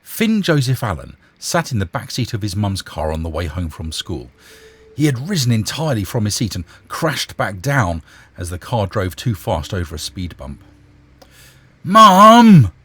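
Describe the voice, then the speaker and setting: A man reading a short story aloud as an expressive narrator. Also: fairly low pitch, moderate pace, slightly rough, dry recording.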